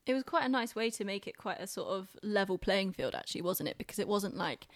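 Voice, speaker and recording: A woman's voice: medium pitch, lively intonation, fast, no room sound. A young woman hosting a casual arts chat, speaking informally with self-deprecating hedges, close to the microphone. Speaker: podcast host, theatre director.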